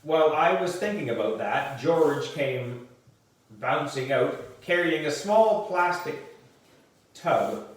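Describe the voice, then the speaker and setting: A man reading a children's book aloud as narration, in a deliberate way with the odd stumble and reread. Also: fairly high pitch, lively intonation. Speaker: volunteer reader for a book club. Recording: distant mic in echoey room.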